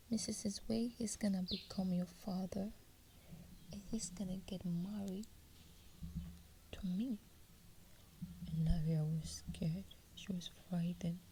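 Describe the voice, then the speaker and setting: A woman tells a folk tale in a slow, unhurried whisper with a gravelly edge. A clear wash of outdoor ambience and birdsong runs behind her voice. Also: medium pitch, warm tone, a little hiss or hum, low-quality recording. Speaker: storyteller. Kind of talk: whispered storytelling.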